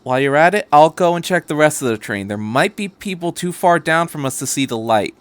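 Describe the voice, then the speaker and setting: A man's voice: a little high, lively intonation, brisk, smooth, no echo, natural voice. Man playing a courteous, easygoing middle-aged man. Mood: obliging.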